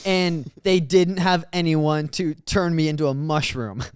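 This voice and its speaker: A man's voice: fairly high pitch, unhurried. A young man co-hosting a podcast, chatting casually and joking, often laughing. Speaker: podcast co-host. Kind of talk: casual chat.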